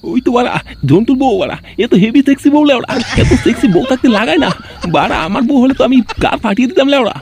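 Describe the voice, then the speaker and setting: A man's voice: very high-pitched, animated, rapid-fire. A man voicing a sly, lecherous, boastful schemer in a crude comedy cartoon, talking in a conspiratorial way. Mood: lustful, scheming. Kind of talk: amateur comedy cartoon dub. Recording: faint noise behind the voice.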